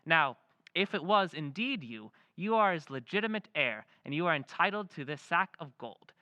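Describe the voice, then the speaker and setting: An actor voicing a genteel letter-writer reading his letter aloud in an old-time radio drama, measured and confiding. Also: fairly high pitch, expressive, bright, forceful tone, dry recording, dull audio.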